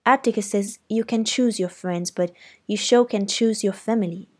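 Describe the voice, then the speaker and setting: A woman's voice: medium pitch, moderate pace, a little mellow, natural voice. A woman reading aloud voices an earnest teenage boy who talks to his younger sister in an eager, confiding way.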